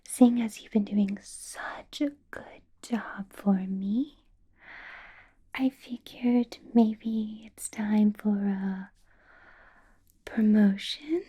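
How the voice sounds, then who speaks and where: A seductive female vampire boss, played by a woman for an ASMR roleplay, speaks in a very breathy, husky whisper. She is possessive and politely menacing, drawing her words out extremely slowly with coaxing pauses. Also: medium pitch, mellow, full character voice. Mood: coaxing, doting. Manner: slow, deliberate, coaxing, with lingering pauses. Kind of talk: ASMR roleplay, personal attention.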